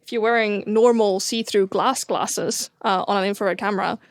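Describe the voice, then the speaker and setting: A woman's voice: mid-range voice, fairly even intonation, normal speed, dry recording, clean studio sound. A woman with a Swedish accent, co-hosting a casual science chat as an astronomer, enthusiastic and full of fillers and restarts. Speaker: co-host, astronomer.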